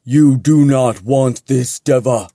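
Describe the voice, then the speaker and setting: A man reading fantasy fiction aloud, voicing a formal, ominous male angel with slow, thunderous words. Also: mid-range voice, warm tone, dry recording, studio quality. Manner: slow, grave, formal intoning.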